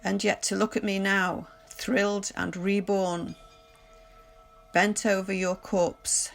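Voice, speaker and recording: A woman reading poems aloud as a scripted recitation, in a measured, deliberate delivery. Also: mid-range voice, level tone, vocal fry. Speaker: poetry reader. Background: music.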